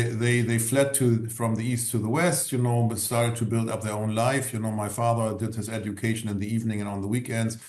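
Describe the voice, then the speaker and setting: A middle-aged man with a German accent, answering interview questions in a halting way, full of "you know" and restarts. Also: fairly low pitch, a little mellow. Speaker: guest, former executive. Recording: roomy echo.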